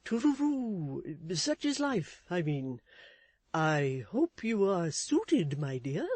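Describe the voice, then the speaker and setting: A woman voicing a flustered, meek middle-aged husband who talks slowly and sheepishly. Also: fairly low pitch, very animated, darkish tone, dry recording, voice pushed up, full character voice. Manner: slow, hesitant, sheepish.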